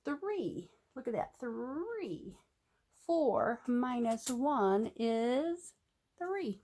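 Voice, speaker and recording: A woman reading a picture book aloud like a teacher to young children, animated and drawing out words, with questions to the listener and sing-song phrasing. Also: on the high side, extremely slow, a little hiss or hum.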